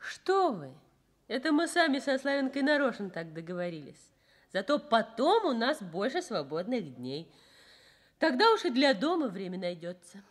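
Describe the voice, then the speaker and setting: An actress in a Soviet radio drama playing a modest, caring nurse of about twenty, simple and frank in manner. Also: female voice, fairly high pitch, very animated, moderate pace. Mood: reassuring.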